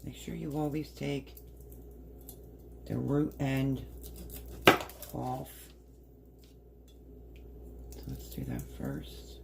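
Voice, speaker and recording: A woman with a hoarse voice casually explaining a recipe step by step in her home kitchen. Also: extremely low pitch, sing-song, very slow, pauses often, heavy background noise. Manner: chatty, explains step by step while working. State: hoarse, partly lost voice. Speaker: home cook. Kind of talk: casual cooking demonstration.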